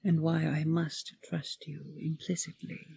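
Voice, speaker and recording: A woman playing a regal, doting yet secretive dragon queen in an audio roleplay, speaking in a formal, softly commanding way. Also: deep voice, flat delivery, measured pace, vocal fry, slight background noise, full character voice. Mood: tender, teasing.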